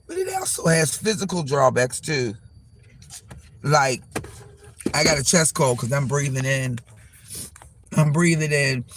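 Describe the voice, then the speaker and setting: Male vlogger, hoarse from a chest cold, gravelly, rambling and profane, faint background hiss. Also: fairly high pitch, fairly bright tone. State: hoarse, losing his voice. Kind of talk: casual vlog monologue. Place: parked car.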